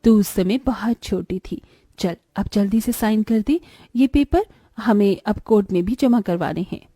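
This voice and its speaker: A woman's voice: medium pitch, unhurried, warm tone, no room sound, clean studio sound, voice pushed down, lightly acted. A woman voicing a scheming middle-aged aunt in a cartoon moral story, with a coaxing, familiar manner.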